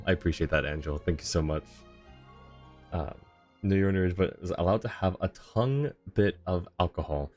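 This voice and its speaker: A man with a deep voice hosts a game livestream. He talks casually and amiably, commenting as he goes and chatting to viewers, with faint game music underneath. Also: a little mellow. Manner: friendly, chatty, informal. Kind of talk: casual chat and live commentary.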